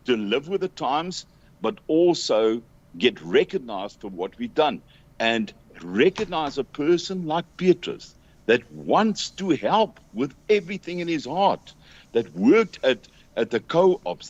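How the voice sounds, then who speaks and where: A South African man talking earnestly as an interview guest, emphatic but halting in his delivery. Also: mid-range voice. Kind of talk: earnest conversational talk. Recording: dull lo-fi call audio.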